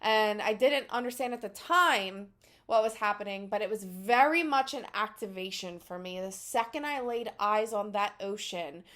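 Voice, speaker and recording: A woman's voice: mid-range voice, normal speed, fairly bright tone. A woman in her thirties with a Philadelphia accent, talking casually to camera as a spiritual channel, rambling with many fillers.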